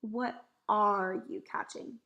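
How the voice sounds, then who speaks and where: A woman's voice: mid-range voice, fairly even intonation, takes it slowly, dull audio. A woman voicing a curious, earnest young girl in a storybook, with an inquisitive way of talking. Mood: puzzled.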